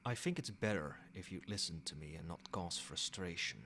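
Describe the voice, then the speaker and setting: A man playing a possessive, controlling old vampire in a soft-spoken, measured ASMR roleplay. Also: deep voice, expressive, background noise. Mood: stern, insistent. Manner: measured, formal, commanding.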